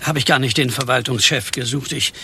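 An actor playing a timid, apologetic programmer in a German radio play, stammering, hesitant and over-polite. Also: male voice, medium pitch, fairly even intonation, a little fast, vocal fry, sharp tone, slight background noise. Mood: flustered rambling. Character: timid, apologetic male programmer, nervously rambling.

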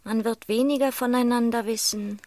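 Woman doing knowing inner-voice narrator in radio drama, highish, terse lines, music under it. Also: fairly even intonation, normal speed, close to an everyday voice.

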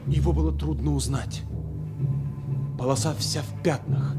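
A male airman narrating a war story in a radio play. The voice is slightly high and slow, with hugely varied, emotive intonation. Loud music runs behind it. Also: steady tone. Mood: tense, shaken. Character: wartime airman recounting a return to a bombed airfield. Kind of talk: dramatic scripted narration.

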